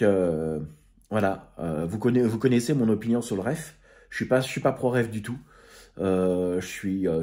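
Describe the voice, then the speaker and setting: A man's voice: on the low side, mellow. A man giving a casual, unscripted recap to his followers, hesitant and groping for words, with informal, chummy slang. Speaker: amateur radio video host.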